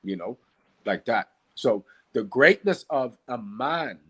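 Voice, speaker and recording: A man from the Bahamas with a Bahamian accent and a slightly husky voice, teaching conversationally and emphatically, repeating key phrases and asking rhetorical questions. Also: medium pitch, lively intonation, takes it slowly, sharp tone. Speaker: podcast guest, Qigong practitioner and teacher.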